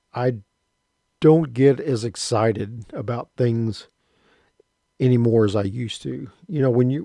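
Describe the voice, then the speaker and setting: A man in his late fifties telling a rambling, halting personal story about his radio hobby, often restarting his sentences. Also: medium pitch, mellow, no echo, studio quality. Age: 50s. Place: rural home.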